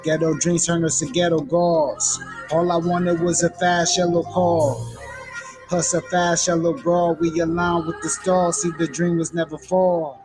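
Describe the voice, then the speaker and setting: A man rapping in a flat, laid-back flow. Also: fairly high pitch, a little slow, faint music behind. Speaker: rapper. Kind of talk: rapping over a hip hop beat.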